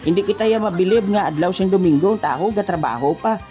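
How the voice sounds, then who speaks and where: A woman's voice: mid-range voice, fast, dark tone, close to an everyday voice. A woman voicing a chatty, pious old grandpa with a Western Visayan Filipino accent, folksy and emphatic, in comic radio chat. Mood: folksy, earnest. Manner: folksy, emphatic, repeatedly addressing a crony. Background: music.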